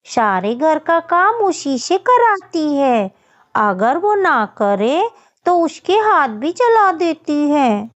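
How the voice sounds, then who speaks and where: A woman's voice: high voice, very animated, extremely slow, muffled recording, heavily acted. A woman voicing a gossipy young bird girl in a children's cartoon, emphatic and childish. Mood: warning. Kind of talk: children's cartoon story acting.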